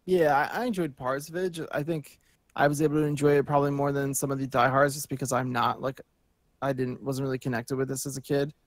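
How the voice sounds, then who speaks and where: A man's voice: mid-range voice, level tone, fast, no room sound, studio quality. A man chatting casually as a panelist, thinking aloud with hesitant phrasing. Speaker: panelist on a movie news talk show. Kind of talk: casual chat.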